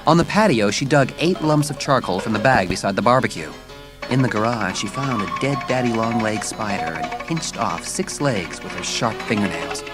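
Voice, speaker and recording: A man's voice: on the low side, animated, normal speed. A man narrating a children's story as scripted, dramatised audiobook narration. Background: music.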